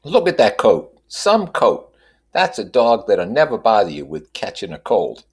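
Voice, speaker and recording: A man's voice: lowish voice, wildly swooping pitch, measured pace, breathy edge, voice pushed up, heavily acted. A man voicing a gray old street seller in a novel reading, with folksy, wheedling sales patter. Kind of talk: audiobook character reading.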